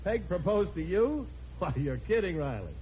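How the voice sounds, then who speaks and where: Animated American male radio announcer. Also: high voice, moderate pace, slight background noise. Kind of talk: 1950s radio announcing and sponsor read. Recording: muffled old radio recording, music behind.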